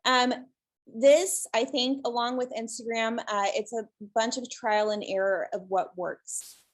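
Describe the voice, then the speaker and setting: A woman from the presenting in a webinar over a video call, soft and relaxed, with many pauses and ums. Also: on the high side. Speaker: guest presenter, shelter social media creator.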